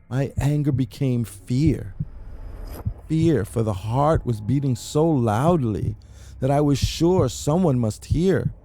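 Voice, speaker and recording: Man's gravelly, mellow dramatic storytelling. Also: mid-range voice. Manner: theatrical, deliberate, with emphatic repetitions. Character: mad, anxious murderer confessing his crime. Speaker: amateur narrator reading a horror short story. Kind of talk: dramatic first-person story reading, audiobook-like.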